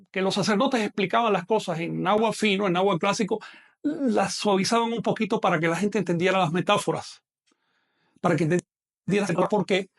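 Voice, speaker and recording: A man with a non-Mexican Spanish accent teaching a language class online, explaining at length and checking in with his students. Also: sits high. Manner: explanatory, addresses students directly with check-in questions. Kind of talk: online lecture.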